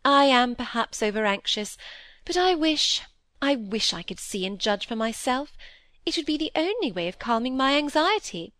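A woman's voice: high voice, very animated, moderate pace, fairly bright tone, no room sound, clean studio sound, voice pushed up. A woman voicing an affected, fussy middle-aged stepmother who pities herself, in an audiobook reading. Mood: gushing. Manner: affected, gushing, fluttery.

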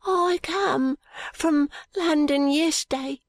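A woman performs a feverish, stubborn street boy from London for an audiobook. The voice sits high and hoarse, muttering in a slow drawl. It sounds frightened and poorly, and very dry and close. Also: no echo, heavily acted. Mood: dazed, weary. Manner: slow, drawling uneducated dialect. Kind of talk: audiobook character voice.

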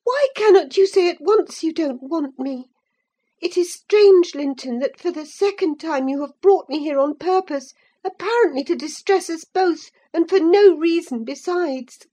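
A woman voicing a proud, quick-tempered young gentlewoman who scolds sharply. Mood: indignant. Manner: indignant, scolding, high-pitched.

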